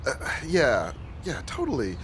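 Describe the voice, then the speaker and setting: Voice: mid-range voice, wildly swooping pitch, a little slow. An actor playing a reassuring adult parent in a naturalistic drama, recorded with faint room noise. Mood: reassuring, evasive.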